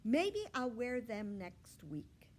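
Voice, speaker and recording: A woman's voice: fairly high pitch, sing-song, takes it slowly, darkish tone, pitched above the performer's own voice, heavily acted. Woman voicing a confident, showy little schoolgirl. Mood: self-assured, showy. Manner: self-assured, declarative, showy. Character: confident theatrical little schoolgirl who loves attention.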